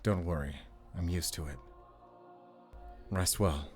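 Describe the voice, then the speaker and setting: An actor playing a weary, guarded werewolf hunter in an audio drama, terse, stern and defiant. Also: male voice, low voice, slow pace, a little mellow, faint music behind. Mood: tender, weary. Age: 30s.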